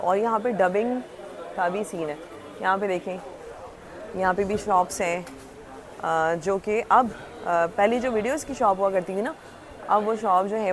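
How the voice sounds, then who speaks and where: A woman with a Karachi Pakistani accent hosts a casual on-location vlog, explaining things conversationally as she shows the place around and asks questions. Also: mid-range voice. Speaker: host and reporter. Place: on location outside and inside a shopping centre. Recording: noisy location with traffic ambience.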